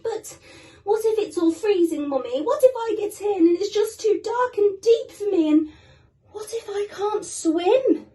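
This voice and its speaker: Woman voicing a frightened little penguin boy, high-pitched. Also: expressive, measured pace, mellow, distant mic. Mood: frightened, anxious. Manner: worried, questioning. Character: small frightened young male penguin, anxious and pleading.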